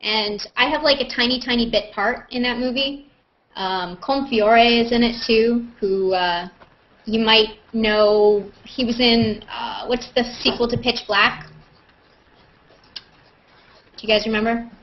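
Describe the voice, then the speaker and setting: A woman hosting an online group hangout, chatting casually and informally and reading aloud in an animated way. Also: on the high side, measured pace. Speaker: host of a video hangout, actress. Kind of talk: casual video-call chat and reading comments aloud. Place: online group video call. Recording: dull, lo-fi video call audio.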